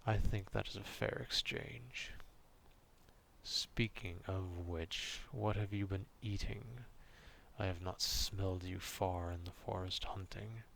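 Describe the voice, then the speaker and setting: A very deep, gravelly male beast, acted by a man, slow and grumbling. He is a distrustful, menacing guardian of the forest in an ASMR roleplay, speaking down to humans with haughty disdain over faint background noise. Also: fairly even intonation, fairly bright tone, heavily acted. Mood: grudging, stern.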